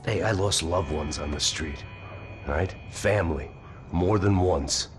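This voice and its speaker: An actor playing a weary, bitter, hard-drinking ex-cop in a video game, deadpan and sardonic. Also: male voice, low-pitched, a little slow. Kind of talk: noir game narration and dialogue. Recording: music playing loudly behind.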